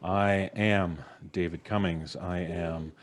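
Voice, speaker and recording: A man's voice: deep voice, steady tone, takes it slowly. A middle-aged man hosting a live stream casually, with jokey, theatrical introductions, on a studio mic. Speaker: podcast host. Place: small indoor home studio, on a video call. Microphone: studio mic on a boom arm.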